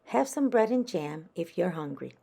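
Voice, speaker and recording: A woman's voice: lowish voice, big pitch swings, moderate pace, gravelly, warm tone, breathy edge, exaggerated voice. A woman voicing a caring, patient mother animal in a children's storybook, soothing and encouraging. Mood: matter-of-fact.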